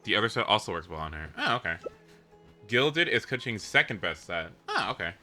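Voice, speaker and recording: Wildly animated bright-voiced man. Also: brisk, faint music behind. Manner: animated, leaps into squeaky high exclamations. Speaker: live streamer playing a video game. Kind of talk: casual gaming stream chat.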